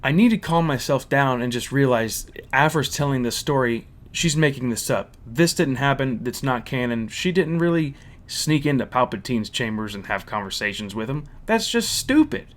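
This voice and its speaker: A man's voice: medium pitch, lively intonation, moderate pace. A man with a mid-range voice reviews and recaps a story in a casual, conversational way. He is blunt and critical, stating his opinions plainly.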